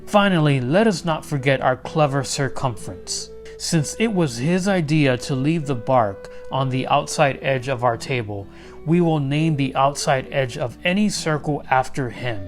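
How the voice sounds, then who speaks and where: A man voicing a noble, kindly king from a storybook, proclaiming in a deliberate, stately manner. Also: medium pitch, lively intonation, faint music behind, close to an everyday voice. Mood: proud, ceremonial.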